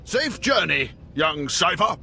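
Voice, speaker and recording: A chatty male station chief in a sci-fi game. His voice is high and sharp with a creaky grain. He is theatrical and effusive, over clear ambient noise. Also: sing-song, slow pace, full character voice. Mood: confiding. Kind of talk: video game voice acting.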